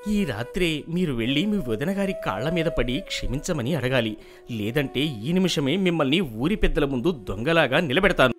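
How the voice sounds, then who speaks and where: A man's voice: on the high side, expressive, normal speed, music in the background, close to an everyday voice. Man acting a humble, earnest young farmhand. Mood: firm. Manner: earnest, respectful. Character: humble, good-hearted young male farmhand, adopted son. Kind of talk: acted folk tale dialogue.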